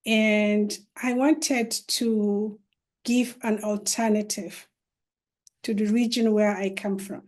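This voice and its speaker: A middle-aged woman from northern Nigeria speaks on a video call panel. She talks very slowly and earnestly, and she restarts her phrases with many fillers. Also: mid-range voice, fairly even intonation, darkish tone. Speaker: panelist, law professor and educator. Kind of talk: virtual panel talk.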